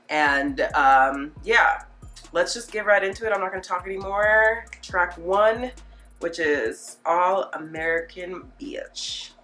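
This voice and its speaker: A woman's voice: low-pitched, fairly bright tone. A woman with a slightly congested, nasal voice from a cold, chatting casually and rambling through an unscripted music reaction, peppering it with "like". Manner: chatty, rambling, filler-heavy with frequent "like". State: getting over a cold.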